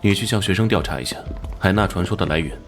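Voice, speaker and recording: A commanding police captain from an animated crime drama. His voice sits very deep, gruff and businesslike, with faint music underneath. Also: fast talker. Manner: plain-spoken, businesslike, giving orders.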